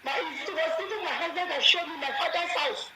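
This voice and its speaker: A woman from Lagos, Nigeria, a regular caller to a phone-in show, talking in an animated, chatty way. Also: very high voice, brisk, roomy sound. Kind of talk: phone-in call.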